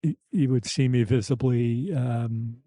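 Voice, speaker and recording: Mature male podcast host, mellow soft voice, slightly weak and lisping, halting candid delivery, dry close sound. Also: mid-range voice, breathy edge, no echo. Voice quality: slight lisp, thick-tongued articulation. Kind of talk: reflective personal conversation.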